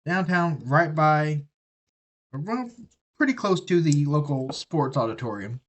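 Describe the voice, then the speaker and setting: A man's voice: on the high side, expressive, a little slow, a bit bright, dull audio. A man chatting casually on a live stream, rambling and profane as he talks. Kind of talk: casual rambling chat while building a kit.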